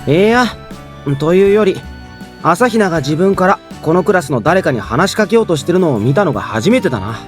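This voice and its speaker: An actor playing a casual, forthright teenage schoolboy classmate in a visual novel. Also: male voice, high-pitched, animated, very fast. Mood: casual, puzzled. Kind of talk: Japanese visual novel voice acting. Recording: game music behind the voice.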